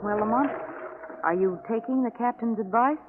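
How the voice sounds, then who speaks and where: A woman's voice: fairly high pitch, normal speed, background noise, lightly acted. An actress playing an alarmed, spirited female companion, urgent and questioning, in a 1940s radio drama. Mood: puzzled. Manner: urgent, questioning, indignant at times. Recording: tinny 1940s radio recording, music traces.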